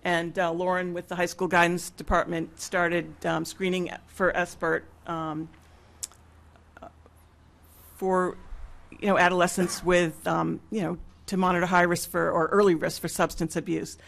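A woman giving an informal spoken report at a public school meeting, hesitant and pausing mid-sentence. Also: on the low side, little pitch movement, creaky, a bit bright. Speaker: school nursing leader presenting a report. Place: mid-sized meeting room with seated public. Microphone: gooseneck table microphone.

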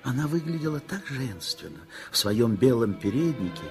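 An actor narrating a tender story in an expressive, theatrical 1960s radio drama style. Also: male voice, medium pitch, moderate pace, music in the background. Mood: expressive, measured. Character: male narrator telling a tender hospital story. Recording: vintage 1960s radio recording.

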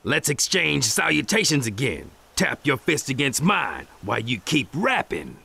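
Gravelly, swaggering rapping ninja mentor. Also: male voice, mid-range voice, big pitch swings, a little slow, sharp tone, exaggerated voice. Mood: playful. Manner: slangy, rhyming, swaggering. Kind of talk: anime video game voice acting. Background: faint game music.

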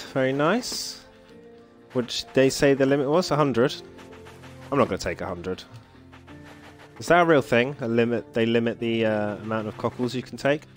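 A man streaming a game at home, chatting casually, musing aloud and reading on-screen story text, with frequent chuckles. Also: medium pitch. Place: home, at the computer. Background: faint game music.

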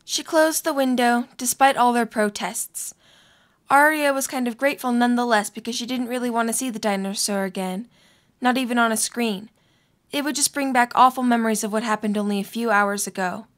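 A woman's voice: a little high, steady tone, a little fast, fairly bright tone. A woman reading scripted story narration as the narrator of an audio drama.

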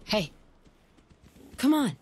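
A young actress playing a tough, businesslike mercenary in a video game, with a cool, matter-of-fact delivery. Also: female voice, drawn-out words, darkish tone, slight background noise. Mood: casual.

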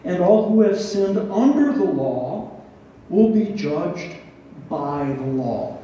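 A man in his sixties preaching an expository Bible study, reading and explaining scripture in a deliberate, teacherly way with rhetorical questions. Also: high-pitched, big pitch swings, dark tone, lo-fi sound. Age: 60s. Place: barn hall with a stage, indoors. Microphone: headset. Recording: roomy, echoing, distant sound with faint noise.

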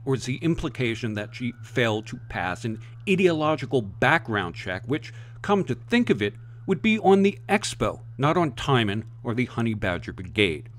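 Impassioned American man, lively delivery. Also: medium pitch, moderate pace, few pauses. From United States. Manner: impassioned and emphatic, rhetorical. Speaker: online commentator. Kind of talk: scripted commentary.

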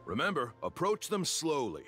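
A man's voice: fairly high pitch, wildly swooping pitch, normal speed, fairly bright tone, faint music behind. A man voicing a shifty older tour operator in a video game, theatrical and salesman-like.

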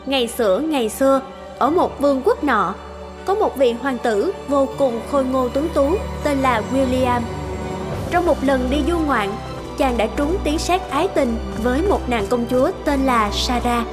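A woman's voice: high voice, animated, unhurried. A woman reading scripted fairy-tale narration as a storyteller. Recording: background music.

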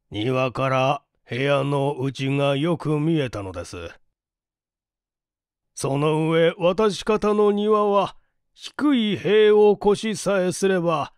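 Slow, measured man reading a mystery. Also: a little high, lively intonation, smooth, dry recording, studio quality. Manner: measured, polite, formal delivery. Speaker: audiobook narrator, stage actor. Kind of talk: dramatized literary reading, mystery novel.

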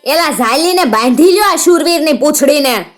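A woman's voice: on the high side, normal speed, soft background music, close to an everyday voice. A woman voicing a proud, volatile male outlaw chief in a folk tale, with a Kathiawadi Gujarati accent and blustering manner. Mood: threatening. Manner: blustering, roaring threats.

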